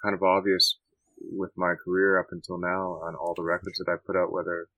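A Canadian man answering interview questions in a thoughtful, halting way with many restarts. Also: low voice, monotone, no room sound, phone-quality sound. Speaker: musician guest.

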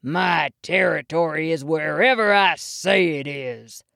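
Lady doing a grumpy male bear bully, blustery cowboy talk, low, full of himself. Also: takes it slowly, vocal fry, fairly bright tone, dry recording, studio quality, heavily acted. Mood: haughty. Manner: blustering, folksy western talk.

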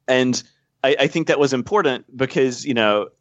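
A man's voice: mid-range voice, normal speed, no room sound. A man explaining game design at length as a podcast guest, thoughtful and full of small fillers. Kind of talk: casual podcast chat. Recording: dull, dry podcast audio.

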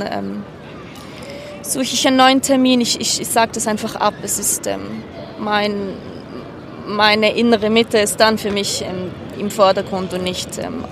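Clear outdoor city noise surrounds a woman with a medium-pitched voice. She speaks reflectively and haltingly, working out her interview answers as she goes. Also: steady tone. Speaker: interviewee stopped on the street. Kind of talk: spontaneous casual interview answers. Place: outdoors on a street. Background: urban outdoor ambience.

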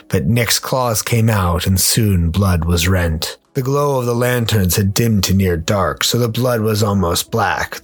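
A man's voice: fairly low pitch, slightly rough. A man reading scripted dramatic narration as a theatrical podcast storyteller.

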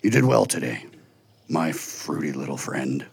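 Gravelly, teasing giant furry beast. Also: male voice, sing-song, no room sound, exaggerated voice. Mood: playful, teasing. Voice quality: gravelly, husky. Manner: unhurried, booming, playfully teasing. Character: huge furry beast, teasing, jovial council caretaker.

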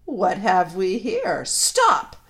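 A woman's voice: mid-range voice, huge pitch swings, extremely slow, fairly bright tone, full character voice. A woman voicing a cruel, imperious witch queen in a storybook, commanding and menacing in her fury. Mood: outraged, imperious. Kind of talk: storybook reading.

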